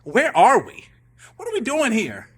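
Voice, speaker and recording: A man's voice: extremely high pitch, wildly swooping pitch, measured pace, a bit bright, breathy edge. A man hosting a radio show live, talking in a theatrical, emphatic, playful and slangy improvised monologue. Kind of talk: animated improvised monologue and storytelling to a live audience.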